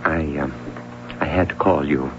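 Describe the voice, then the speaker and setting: An American actor, a man, playing an anxious, placating middle-aged husband in a 1970s radio drama, halting and apologetic. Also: rumbling low. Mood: apologetic, uneasy. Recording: dull, noisy old radio broadcast.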